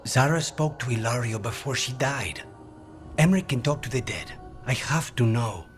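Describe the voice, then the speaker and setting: A man's voice: medium pitch, expressive, normal speed, faint music behind, close to an everyday voice. A man playing a grim, wronged assassin in a video game, terse, controlled and brooding. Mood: cold resolve.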